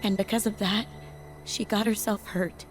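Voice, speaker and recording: A woman's voice: fairly high pitch, moderate pace, natural voice, poor audio. A woman playing a guarded, earnest, downcast young former racer in a video game, with a defensive delivery. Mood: remorseful. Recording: loud music behind the voice. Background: loud game music.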